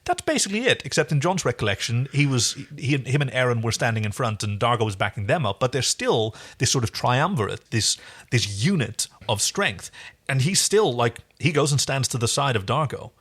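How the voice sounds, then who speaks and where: A man with a mid-pitched voice co-hosts a podcast. He talks casually, recapping a television episode in an informal back-and-forth. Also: big pitch swings, fairly quick, a bit bright, husky. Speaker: podcast co-host.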